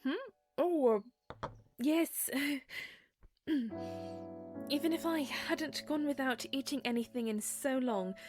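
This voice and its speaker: A gentle young princess acted by a woman speaks in a high voice at an unhurried pace. She is caring and a little hesitant, with soft audio-drama delivery over a music bed. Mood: warm, flustered.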